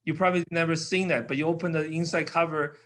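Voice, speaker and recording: An older man with a Taiwanese accent talks as an interview guest, his voice sitting a little high for a man. His English is simple and a bit broken, with frequent pauses and filler sounds as he explains things in plain, patient terms. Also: fairly even intonation, a bit bright. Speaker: guest, company founder.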